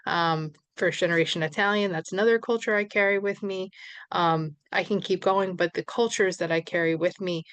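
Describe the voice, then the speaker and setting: New york lady lecturing, low-ish voice, chatty with lots of ums, dry close sound. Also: steady tone, normal speed, clean tone, dry recording. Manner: conversational, with fillers and self-corrections. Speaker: social work lecturer. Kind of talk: recorded lecture.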